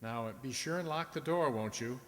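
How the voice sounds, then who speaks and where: A man playing a kindly, earnest doctor in a radio drama, speaking in a halting, pleading manner. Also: medium pitch, natural voice. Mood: gentle, apologetic. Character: earnest, kindly, urgent male family doctor. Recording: reverberant hall.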